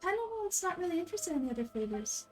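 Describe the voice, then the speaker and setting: A woman voicing a small, childlike fairy game companion who is excitable and nosy, with a squeaky high voice full of questions and exclamations. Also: fast, soft background music. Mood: puzzled. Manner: exclaiming, questioning, speaks of self in third person.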